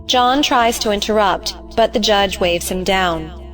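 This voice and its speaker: A woman's voice: medium pitch, measured pace. Female narrator reading terse stage directions, faint music.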